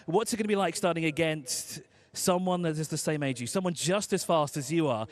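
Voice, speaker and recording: A man reporting live from an outdoor race pit lane, chatty and quick with joking asides. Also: on the high side. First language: English.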